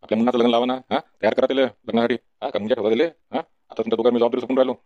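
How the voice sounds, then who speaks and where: A man's voice: mid-range voice, fairly even intonation, rapid-fire, close to an everyday voice. A man voicing a bossy, nagging farmer father exasperated by his son in a comedy cartoon, with a folksy Varhadi Marathi accent. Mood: insistent. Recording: dull, lo-fi sound.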